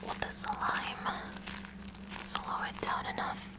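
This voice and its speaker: A woman playing a kooky, curious high school science club girl in a whispered ASMR roleplay, musing haltingly with clinical fascination in a breathy whisper. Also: close to an everyday voice. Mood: hushed fascination. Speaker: ASMR performer.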